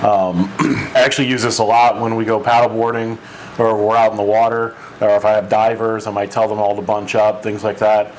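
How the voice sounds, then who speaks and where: A man's voice: lowish voice, flat delivery, fairly quick, a little hiss or hum, rough recording. A man hosting an informal boating explainer, talking in a hesitant, rambling way with repeated words. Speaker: boating channel host. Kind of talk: informal explainer talk to camera.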